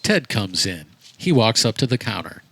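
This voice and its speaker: A man's voice: lowish voice, very animated, moderate pace, creaky, heavy background noise. A man reading scripted stage directions as the narrator of a comedy, in a matter-of-fact way. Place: backyard, live performance.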